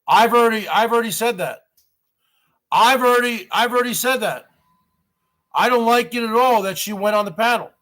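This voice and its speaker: A man in his forties with a stutter and a high voice hosts a live stream. He talks straight to his viewers and keeps circling back to the same grievance, repeating his words as he goes. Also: normal speed, long pauses, a bit bright. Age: 40s. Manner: aggrieved, repeats himself.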